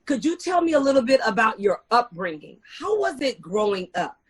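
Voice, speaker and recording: A woman's voice: on the high side, big pitch swings, fairly quick, breathy edge. A woman hosting a community interview, reading a solemn scripted introduction before asking questions. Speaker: host.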